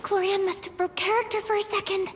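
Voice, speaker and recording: A woman's voice: extremely high pitch, flat delivery, fast talker, slight background noise. A woman playing a bookish, earnest young woman in a video game, thoughtful and analytical in manner. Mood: flustered. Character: bookish, earnest young female player, eager problem-solver.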